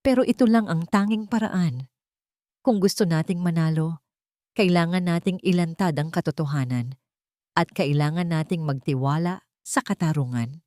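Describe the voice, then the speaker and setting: A woman's voice: lowish voice, normal speed, mellow, no echo, natural voice. A woman plays an earnest, defiant company boss, a man of firm conviction. The lines are declarative storybook drama, recorded very dry. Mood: determined.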